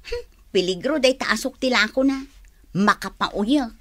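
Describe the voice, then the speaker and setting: A woman's voice: fairly high pitch, lively intonation, unhurried. An actress with an Ilocano accent playing a whiny, tattling woman in a comedy radio drama. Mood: complaining. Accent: Filipino, Ilocano.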